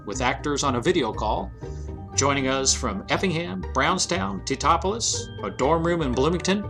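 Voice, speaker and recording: A man giving scripted introduction and narration as the announcer of a radio show. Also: a little high. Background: music.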